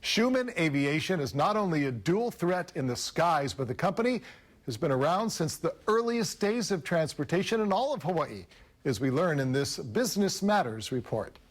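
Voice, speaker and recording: A man's voice: on the high side, big pitch swings, normal speed. A man reporting a business feature for television in a polished, scripted news delivery.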